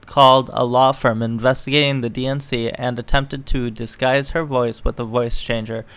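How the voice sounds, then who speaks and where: A man's voice: mid-range voice, level tone, normal speed, fairly bright tone, smooth, no room sound. A man reading news articles aloud and adding casual, sarcastic, opinionated commentary as an online political commentator. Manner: sarcastic, mocking.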